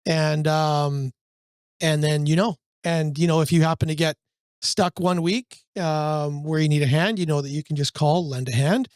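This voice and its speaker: Slightly hoarse man, higher voice, dry. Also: fairly even intonation, moderate pace, a little mellow, no room sound. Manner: rambling, searching for words, many fillers. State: losing his voice from a cold. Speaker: brokerage podcast co-host. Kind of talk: casual business podcast chat.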